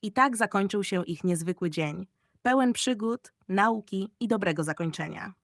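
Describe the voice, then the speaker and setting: Woman, children's storybook narrator, expressive delivery, medium pitch, dry recording. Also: normal speed, dry recording.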